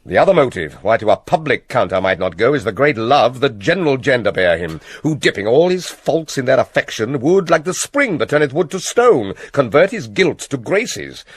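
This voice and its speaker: Scheming Shakespearean king: British, persuasive, theatrical, widely swooping pitch, dry close sound. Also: big pitch swings, slightly rough, no room sound. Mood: confiding, persuasive. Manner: theatrical, measured with dramatic pauses. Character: scheming king, smooth, persuasive, plotting murder. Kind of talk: classical Shakespearean stage-style acting.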